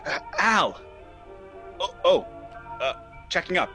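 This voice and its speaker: An actor playing a frantic, appalled nephew in a theatrical radio comedy, exasperated and stammering. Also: male voice, high voice, sing-song, slow pace, bright, forceful tone, faint music behind, heavily acted. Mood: flustered. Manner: exasperated, stammering, wildly exclaiming. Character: frantic, appalled nephew discovering his aunts' crimes.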